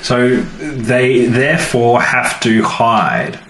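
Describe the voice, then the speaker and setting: A man co-hosting a podcast in casual banter, chipping in with wry, animated remarks. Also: medium pitch, extremely slow. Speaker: podcast co-host. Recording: roomy echo, faint background noise.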